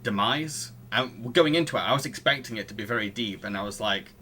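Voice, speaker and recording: A man with a bright, forward voice discusses films casually as a podcast host. He is analytical and a little halting, with faint noise behind him. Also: fairly low pitch.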